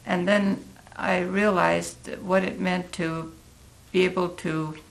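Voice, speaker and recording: Very creaky, slow-spoken elderly American woman. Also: fairly low pitch, fairly even intonation, poor audio. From Oregon, United States. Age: 80s. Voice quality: gravelly, creaky. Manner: halting, deliberate, thoughtful pauses. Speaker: interviewee recalling her life. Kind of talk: reflective oral history interview.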